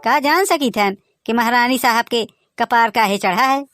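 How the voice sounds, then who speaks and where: A man's voice: very high voice, normal speed, a bit bright, a little hiss or hum. A man with a Bagheli accent voicing a joking rustic village husband who also narrates the cartoon. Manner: folksy, joking, exclaiming.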